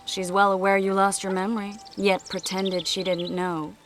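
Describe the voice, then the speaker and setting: A guarded actress as a young sorceress in a fantasy game, with a middle-pitched voice. She is earnest and measured, secretive yet protective, with faint noise behind her. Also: little pitch movement, lightly acted. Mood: wary, suspicious. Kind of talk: fantasy video game dialogue.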